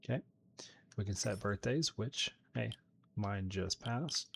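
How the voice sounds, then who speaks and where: Low-voiced man narrating his gameplay. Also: expressive, slow pace, creaky, a bit bright, slight background noise. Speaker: gaming video host. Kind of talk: casual gameplay commentary.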